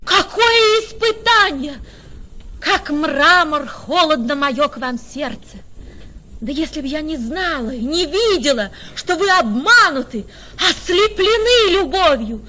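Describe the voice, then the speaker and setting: A woman's voice: high voice, animated, takes it slowly, bright, forceful tone, slight background noise, exaggerated voice, low-quality recording. An actress playing a proud, scheming young noblewoman in a radio drama, with an imperious, declamatory delivery. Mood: cold, indignant. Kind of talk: theatrical radio drama in verse.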